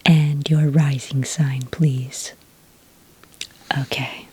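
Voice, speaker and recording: A woman doing a soft-spoken ASMR roleplay as a patient enrollment witch, in a breathy, whispery voice with a gentle, polite manner. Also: deep voice, level tone, a little hiss or hum, low-quality recording. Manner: gentle, slow, hesitant official patter. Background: computer keyboard typing.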